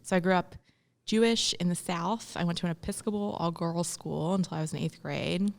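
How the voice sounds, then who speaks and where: A woman's voice: lowish voice, fairly even intonation, a little fast, vocal fry. A young woman from Memphis, speaking reflectively as an interview guest, with frequent ums and restarts. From Memphis, Tennessee, US. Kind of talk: casual interview conversation.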